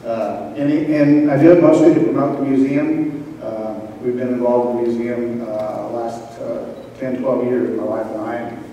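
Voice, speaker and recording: Older man with folksy, halting talk. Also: medium pitch, steady tone, mellow, lo-fi sound, slight background noise. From Wellington, Kansas. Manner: halting, many "uh" fillers, folksy. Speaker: local history speaker. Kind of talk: informal history presentation. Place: large school auditorium. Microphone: handheld mic, recorded from a far camera. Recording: echoey hall from far off.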